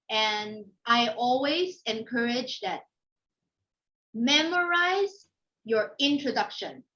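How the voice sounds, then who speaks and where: A middle-aged woman from the Philippines with a Filipino accent gives an informal motivational talk, telling personal anecdotes in an animated way and acting out thoughts and remarks. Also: sits high. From Philippines (Manila). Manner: animated storytelling, acts out inner thoughts and quoted remarks. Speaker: guest speaker, company founder. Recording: dull, lo-fi audio.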